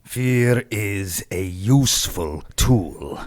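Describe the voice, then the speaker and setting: An actor playing an arrogant, menacing henchman in a pulp radio drama, measured and smug. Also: on the low side, animated, a little mellow. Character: arrogant, menacing male henchman plotting against humans.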